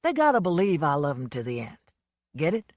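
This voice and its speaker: Woman doing a drunken braggart, loud, slurred, swaggering, swooping pitch, dry close sound. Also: wildly swooping pitch, fairly quick, no room sound, exaggerated voice. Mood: self-pitying. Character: drunken blustering man, a boastful folksy entertainer.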